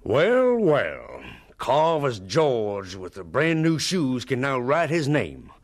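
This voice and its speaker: An actor playing a bitter, sarcastic schoolteacher in a radio drama, stern and sneering in a theatrical way. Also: male voice, medium pitch, huge pitch swings, slow pace, heavily acted. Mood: mocking. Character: bitter, scornful adult male schoolteacher, sarcastic and domineering.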